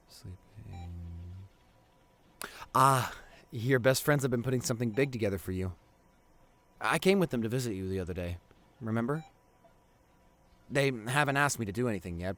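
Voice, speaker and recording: A man playing a devoted, grieving boyfriend at a hospital bedside in an intimate ASMR roleplay, speaking tenderly and haltingly with emotion. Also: on the low side, lightly acted. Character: devoted, grieving boyfriend visiting his comatose partner in hospital.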